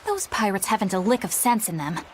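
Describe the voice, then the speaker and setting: A woman's voice: mid-range voice, fairly quick, a little hiss or hum, lightly acted. An actress playing a prim, principled young woman warrior in a video game, formal and easily exasperated. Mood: scornful. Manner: proper, formal, indignant. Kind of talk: English video game voice acting.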